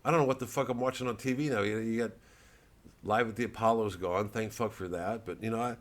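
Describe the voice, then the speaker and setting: A man's voice: lowish voice, steady tone, fast talker. A man giving an off-the-cuff comic monologue as a comedian plugging a show, rambling, interrupting himself, sometimes profane and sardonic. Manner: rambling, self-interrupting, acting out bits. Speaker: comedian promoting a live show.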